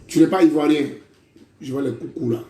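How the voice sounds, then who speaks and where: A man's voice: a little high, brisk, warm tone. A man preaching a prophetic sermon, declaiming in repeated phrases and calling listeners brother. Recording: echoey room.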